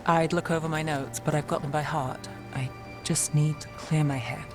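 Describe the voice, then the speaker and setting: A woman's voice: deep voice, fairly even intonation, normal speed, over music, close to an everyday voice. A woman voicing a weary, guarded detective with dry, understated wit in a fantasy video game. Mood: relaxed, dry. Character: weary, wry, guarded young female detective in a fantasy city.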